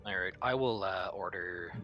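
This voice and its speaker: A man's voice: low-pitched, normal speed, dull audio, faint music behind. A man playing a tabletop roleplaying game, talking casually in a blunt, bossy, sweary way as he takes the captain's part. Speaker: player as the crew captain.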